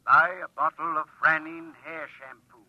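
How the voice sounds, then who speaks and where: Helpful talking computer, played by a man, announcer-like, slightly high, dry, dull old broadcast sound. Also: moderate pace, no room sound, close to an everyday voice. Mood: prim. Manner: even, announcer-like. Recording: lo-fi dry 1950s radio drama.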